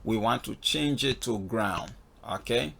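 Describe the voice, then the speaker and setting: A man with a gravelly, husky voice giving a casual step-by-step spoken walkthrough as an instructor, often checking in with "okay.". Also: mid-range voice, measured pace, sharp tone, a little hiss or hum. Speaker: flight instructor in a tutorial video. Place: voice-over on a screen recording.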